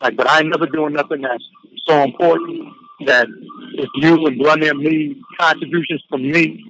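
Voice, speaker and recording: A man's voice: fairly high pitch, steady tone, moderate pace, a bit bright, a little hiss or hum. A man being interviewed in a casual phone chat, speaking emphatically in slangy phrases and often repeating himself. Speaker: interview guest. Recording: phone call audio.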